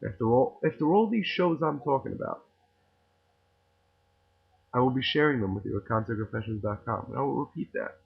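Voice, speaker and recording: A man's voice: medium pitch, expressive, fairly quick, gravelly, pauses often, slightly rough. A man with a New York accent hosting a podcast, ranting in an opinionated, sarcastic way and rambling with frequent restarts. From New York City. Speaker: podcast host. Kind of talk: casual ranting monologue. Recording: tinny phone-like audio.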